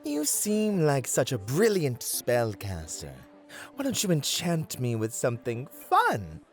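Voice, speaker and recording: A man's voice: mid-range voice, wildly swooping pitch, slow, faint music behind, exaggerated voice. A man voicing a flirty, playful, vain satyr in a fantasy roleplay, with a theatrical, teasing, coaxing delivery. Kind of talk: fantasy roleplay ASMR acting.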